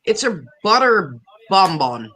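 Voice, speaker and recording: A woman's voice: medium pitch, big pitch swings, slow, pushed and bright. A woman co-hosting a livestream in casual chat over a video call. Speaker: livestream co-host.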